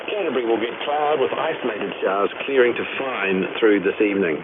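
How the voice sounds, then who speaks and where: A man's voice: fairly low pitch, a little fast. A man with a New Zealand accent reading a scripted weather bulletin as a radio newsreader. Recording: crackly, noisy, narrow-band shortwave radio. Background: clear radio static and noise.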